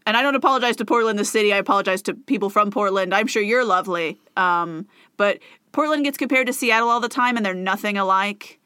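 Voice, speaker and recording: A woman's voice: medium pitch, fast talker, sharp tone, clean tone, no room sound, studio quality. A woman co-hosting a podcast in casual, sarcastic chat, laughing often. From United States. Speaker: podcast co-host.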